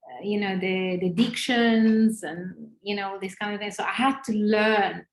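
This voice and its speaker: Italian-accented woman, halting, echoey room. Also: mid-range voice, steady tone. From northern Italy. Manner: hesitant, many fillers and restarts. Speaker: interview guest, former ballerina. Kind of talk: casual interview conversation. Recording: roomy echo.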